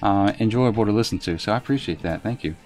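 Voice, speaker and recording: A soft-spoken man giving casual commentary as he plays a game live and answers his chat in a reserved, unhurried way. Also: lowish voice, faint music behind. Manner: reserved, unhurried, talks while playing. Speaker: live streamer.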